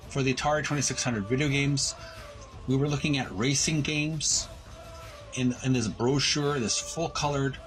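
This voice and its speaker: Male channel host, medium-pitched, halting read-aloud walkthrough with self-corrections, music underneath. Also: a bit bright. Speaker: host of a retro video game channel.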